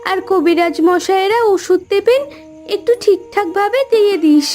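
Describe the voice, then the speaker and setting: A woman playing a devoted, anxious, soft-hearted young married daughter in a cartoon, with an emotional, pleading way of talking. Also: extremely high pitch, normal speed, soft background music. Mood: grateful, worried. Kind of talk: Bengali cartoon voice acting.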